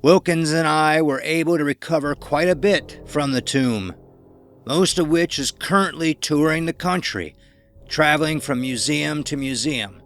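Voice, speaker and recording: A man's voice: fairly high pitch, a bit bright, voice pushed up. A man voicing a sinister, smug elderly man with a bandaged face, drawling mocking lines in an audiobook, with faint music behind. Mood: menacing. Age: older. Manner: mocking, drawn-out, condescending. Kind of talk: audiobook character voice.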